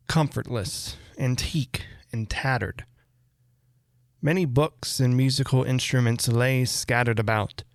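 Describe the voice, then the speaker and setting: Male narrator for classic Gothic fiction: unhurried pace, creaky low-end fry, measured phrasing. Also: dry recording, clean studio sound. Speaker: narrator reading a classic Gothic short story aloud. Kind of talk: measured literary audiobook narration.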